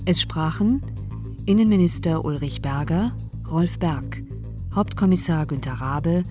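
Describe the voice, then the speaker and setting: A woman with a fairly low voice announces radio drama credits at an unhurried pace. Music plays clearly behind her scripted read. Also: expressive. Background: prominent music.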